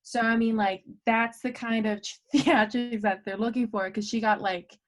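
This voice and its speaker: A woman's voice: fairly high pitch, steady tone, moderate pace. A young woman in a college mock trial club, chatting in an upbeat, giggly way on a video call. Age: young adult. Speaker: mock trial member running questions. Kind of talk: casual Zoom chat.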